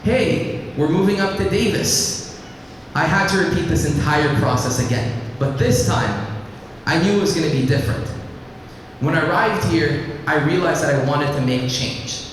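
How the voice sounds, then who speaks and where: Teenage boy, earnest speech, measured pauses, echoey hall, faint background noise. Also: fairly high pitch. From Iraq, raised in Lebanon. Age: teens. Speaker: student speaker. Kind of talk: personal speech to an audience. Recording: echoey hall, mic at a distance.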